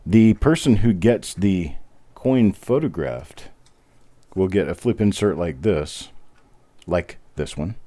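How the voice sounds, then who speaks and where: A man hosting a live coin reveal, with a smooth deep voice, chatting in a relaxed way and making self-deprecating jokes. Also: slow pace, no room sound. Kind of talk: casual live-stream commentary.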